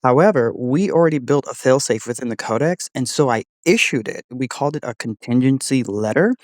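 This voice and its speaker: A man's voice: mid-range voice, animated, brisk, no echo, studio quality. A man hosting a voice-model test, talking earnestly in a conversational, explanatory way. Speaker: host testing an AI voice model.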